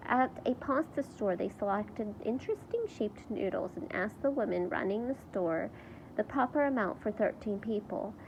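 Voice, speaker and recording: A woman reading a children's book aloud in an expressive storytelling style. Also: medium pitch, normal speed, background noise. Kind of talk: read-aloud storybook narration with character voices.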